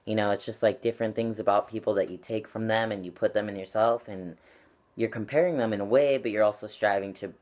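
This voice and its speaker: A man's voice: on the low side, fairly even intonation, fast talker, a little mellow. A young man talking earnestly and reflectively to camera at home, with frequent ums and likes. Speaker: channel vlogger. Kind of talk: casual talk to camera. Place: at home with his cat.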